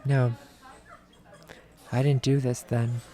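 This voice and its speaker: A man's voice: mid-range voice, level tone, takes it slowly, warm tone, close to an everyday voice. A man playing a short-tempered, sarcastic bounty hunter and ex-cop, blunt and dismissive, in an acted film script read. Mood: guarded, weary.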